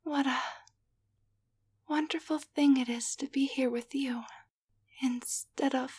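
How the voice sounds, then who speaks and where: A woman playing a gentle, grateful young waitress turned into a tiny living doll, speaking softly and hesitantly in an intimate roleplay audio drama. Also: sits high, fairly even intonation, slow, exaggerated voice. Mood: sleepy, grateful. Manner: soft, breathy, hesitant, tender delivery.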